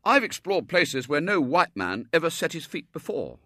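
An earnest young boy from a storybook, acted out by a man, boastful and eager to impress. The voice is bright and raised, with very animated swings as he stammers and blusters. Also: on the high side, normal speed, no echo, studio quality, pitched above the performer's own voice, exaggerated voice. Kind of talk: storybook character voice in narration.

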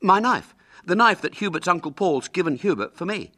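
A man's voice: high voice, expressive, moderate pace, bright, forceful tone, dry recording, clean studio sound, pitched above the performer's own voice, heavily acted. A man voicing an eager, earnest schoolboy in a storybook, blurting out insistent protests. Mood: expectant, insistent.

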